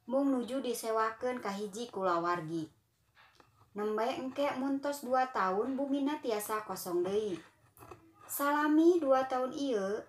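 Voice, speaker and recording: Gentle slow Sundanese woman storyteller. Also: high voice, echoey room. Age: young adult. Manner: gentle, unhurried reading to children. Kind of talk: children's storytelling narration.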